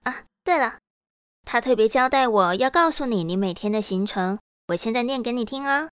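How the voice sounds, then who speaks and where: A woman acting a friendly, brisk young sister-in-law who serves as caregiver, in an audio drama. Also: on the high side, expressive, a bit bright, clear, resonant tone, no echo. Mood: cheerful, breezy.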